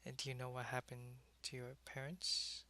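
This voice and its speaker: A man's voice: mid-range voice, completely flat delivery, moderate pace, pushed and bright. A man playing a world-weary, lonely vampire who was once a human soldier, in an intimate, conversational ASMR roleplay. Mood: gentle, sympathetic.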